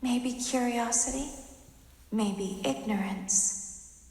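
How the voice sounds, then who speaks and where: A woman playing a soft-spoken animatronic girl robot in a video game, wistful and calmly menacing, with measured, childlike, matter-of-fact delivery. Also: fairly high pitch. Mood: calm warning. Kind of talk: scripted video game character monologue. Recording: long echoing reverb, hall-like.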